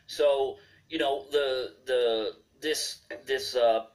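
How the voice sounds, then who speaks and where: A man's voice: medium pitch, steady tone, extremely slow. A man arguing heatedly as a debate panelist, full of restarts and fillers. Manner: forceful, repeating fillers and restarts. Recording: distant from the mic.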